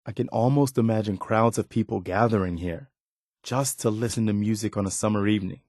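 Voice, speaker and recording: Earnest wasteland militia companion for a video game, lowish voice, dry close recording. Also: male voice, darkish tone, dry recording. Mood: watchful, conversational. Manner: earnest, measured, conversational. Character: earnest, wary militia man in a post-apocalyptic wasteland. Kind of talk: game voice acting, short spoken reactions.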